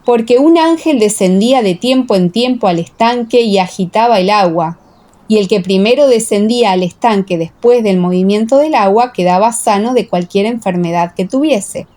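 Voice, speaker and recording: A woman's voice: medium pitch, normal speed, dark tone. A woman reading scripture as the narrator of a dramatized audiobook.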